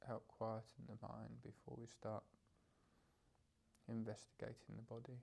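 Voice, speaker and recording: Mellow, gravelly man guiding a meditation. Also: fairly low pitch, level tone. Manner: hesitant, unscripted phrasing with gentle pauses. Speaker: meditation teacher. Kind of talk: guided meditation.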